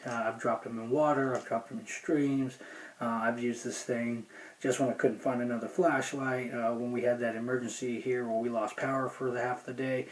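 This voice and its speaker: A man's voice: mid-range voice, little pitch movement, normal speed, poor audio. A man giving a casual, unscripted product review in a plainspoken, folksy, rambling way. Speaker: gear review video host.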